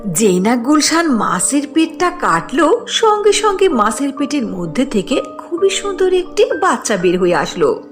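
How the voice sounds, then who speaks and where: A woman narrates a cartoon folk tale in a scripted storytelling style. Her voice sits fairly high and moves with very lively intonation, with music playing behind it. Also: normal speed.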